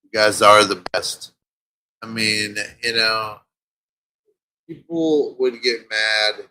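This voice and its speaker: Male host, mellow stoner ramble, slow delivery, slightly low voice. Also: little pitch movement, a little hiss or hum, rough recording. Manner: laid-back, stoned, rambling with fillers. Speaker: show host. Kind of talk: casual rambling stream talk.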